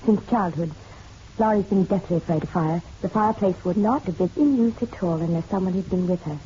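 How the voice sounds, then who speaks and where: A woman's voice: fairly low pitch, brisk, mellow, close to an everyday voice. An actress playing a genteel, proud middle-aged mother in a radio drama, poised and arch with a bitter edge. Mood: earnest, scornful. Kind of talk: noir radio drama acting. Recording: dull, slightly noisy radio-drama recording.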